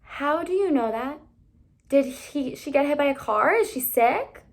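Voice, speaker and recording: A woman's voice: high-pitched, animated, a little slow, pitched above the performer's own voice. A woman voicing a distressed, argumentative young married woman in a storybook, tragic and exasperated. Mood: alarmed.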